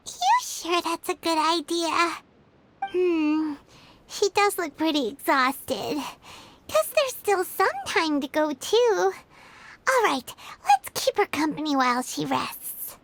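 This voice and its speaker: A woman playing a tiny, excitable childlike fairy companion in a video game, with a squeaky, cutesy, exclaiming delivery. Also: slow pace, a little hiss or hum, full character voice. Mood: concerned. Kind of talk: video game character acting. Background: music.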